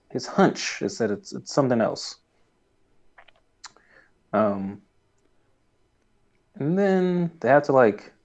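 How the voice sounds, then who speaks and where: Halting man on a slightly muffled mic. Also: medium pitch, darkish tone. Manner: hesitant, halting, easily amused. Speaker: podcast co-host. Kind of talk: casual recap chat.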